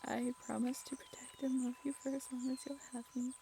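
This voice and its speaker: A woman's voice: sits high, flat delivery, brisk, warm tone, a little hiss or hum. A woman playing an affectionate, grateful birthday celebrant and werewolf's partner in an intimate roleplay audio drama. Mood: reading tenderly. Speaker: voice actor.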